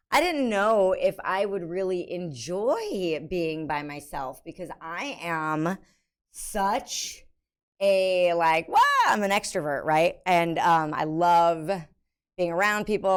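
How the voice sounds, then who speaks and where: A woman's voice: fairly low pitch, fairly bright tone. An American woman streamer in casual live chat, rambling with many ums and self-mocking laughter. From United States.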